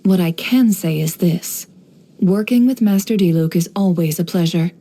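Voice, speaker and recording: Mellow, wry, knowing woman spymaster. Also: on the low side. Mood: dry, businesslike. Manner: composed, wry, knowing, measured. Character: shrewd, worldly woman who runs an intelligence business. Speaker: video game character voice actor. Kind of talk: scripted game character voice lines, monologue.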